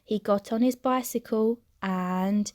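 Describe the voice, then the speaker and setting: A woman reading a children's storybook aloud as an expressive narrator. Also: medium pitch, takes it slowly, dry recording, clean studio sound. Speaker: storybook reader.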